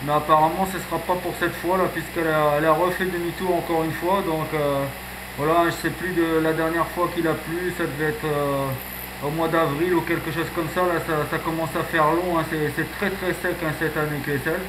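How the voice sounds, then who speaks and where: A man's voice: on the high side, level tone, brisk. A man chatting casually as an amateur radio operator, peppering his talk with radio jargon and verbal tags. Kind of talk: casual radio contact chat. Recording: echoey, noisy shortwave radio contact recording.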